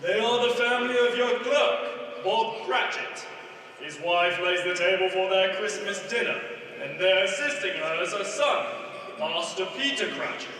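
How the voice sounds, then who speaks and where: Jolly giant spirit, high bright booming voice, radio play, echoey stage from far off. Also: male voice, animated, measured pace, slight background noise, full character voice. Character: jolly giant male Ghost of Christmas Present. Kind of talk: 1940s radio acting.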